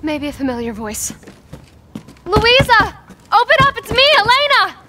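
A woman's voice: extremely high pitch, very animated, measured pace, very noisy, full character voice. A woman playing a frightened, loyal young village daughter in a horror game, pleading urgently. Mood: anxious, pleading.

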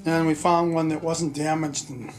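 A man's voice: a little high, little pitch movement, normal speed, faint music behind. A man casually retelling a story and explaining his do-it-yourself work, in a plain, rambling, folksy way. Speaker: vlog co-host, handyman husband.

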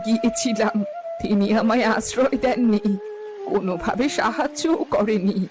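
A woman's voice: fairly high pitch, moderate pace, over music, natural voice. A woman playing a poor, worried old village mother in a cartoon, pleading and lamenting. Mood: anxious complaining. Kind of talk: Bengali fairy-tale cartoon dialogue.

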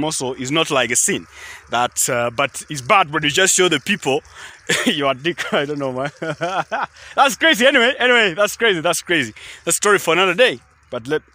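A man's voice: a little high, bright, forceful tone. A young Kenyan man with a Kenyan accent, rambling casually into his phone at arm's length outdoors in a tea field, repeating himself often. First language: Kalenjin. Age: young adult. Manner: rambling, repetitive, keeps saying guys. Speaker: vlogger. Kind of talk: casual selfie vlog.

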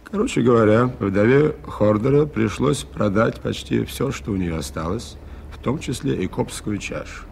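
An actor playing an earnest, skeptical aviator in a radio play, with a gravelly voice and a measured, formal, dryly ironic manner. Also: male voice, mid-range voice, darkish tone, natural voice. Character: earnest, skeptical gentleman aviator recounting a mystery. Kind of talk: Soviet radio play acting. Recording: faintly noisy 1980s radio recording.

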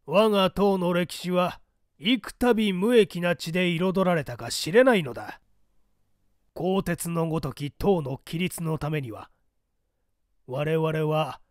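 A man's voice: sits high, no room sound, studio quality, full character voice. A man voicing a rigid, zealous party loyalist in an audiobook drama, with an emphatic, declamatory delivery. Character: rigid, zealous male party loyalist preaching iron discipline. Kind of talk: dramatic audiobook character dialogue.

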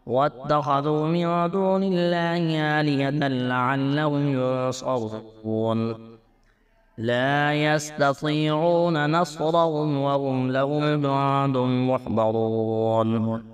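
A man reciting scripture in a melodic Quranic chant, measured, with drawn-out verse endings. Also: mid-range voice.

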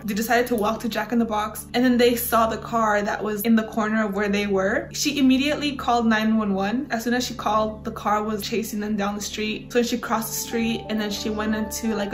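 A young woman from Los Angeles, chatty, telling her story in a sit-down interview indoors. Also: medium pitch. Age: young adult. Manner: chatty, says "like" a lot. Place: small room indoors.

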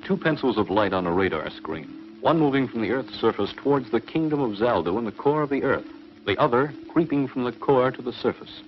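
Actor as a dramatic narrator, muffled vintage sound. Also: male voice, medium pitch, animated, a little hiss or hum, lightly acted. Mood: suspenseful. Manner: formal, measured, dramatic. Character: dramatic male serial narrator. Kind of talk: 1950s TV serial scripted narration. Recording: muffled 1950s soundtrack, faint music.

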